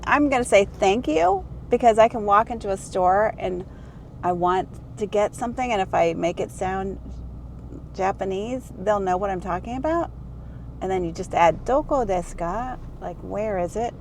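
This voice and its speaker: A middle-aged woman vlogging casually, rambling and correcting herself with bursts of enthusiasm. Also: medium pitch. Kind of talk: casual chatty vlog. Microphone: small handheld camera mic. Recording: noisy car and street ambience.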